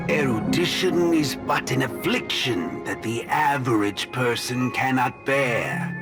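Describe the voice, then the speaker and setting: Smug game villain, ape professor, highish swooping voice, slow pompous lecturing, loud music behind. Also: male voice. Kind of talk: dramatic video game voice acting.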